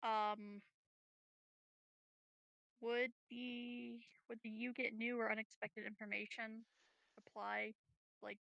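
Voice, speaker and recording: A woman's voice: on the high side, level tone, slow pace, gravelly, pauses often, a bit bright, dry recording, highs cut off. A woman chatting casually as a player in a tabletop roleplaying game.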